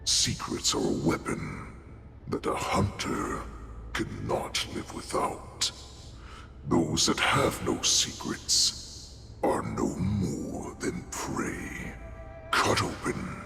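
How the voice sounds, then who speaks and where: A male actor voicing a menacing, gloating beast warlord in a video game, gravelly, with slow, theatrical, sinister delivery. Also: a bass voice, very animated, full character voice. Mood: coldly menacing. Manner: slow, sinister, theatrical, breathy murmurs between growls. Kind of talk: dramatic video game villain acting. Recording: echoey reverberant hall effect over music.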